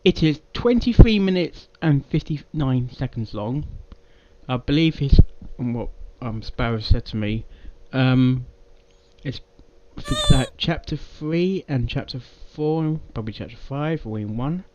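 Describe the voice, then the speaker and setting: Slow man with very animated intonation. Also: mid-range voice, muffled recording, noisy background. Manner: halting, repeats himself. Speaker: reaction video host. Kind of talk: casual live reaction commentary.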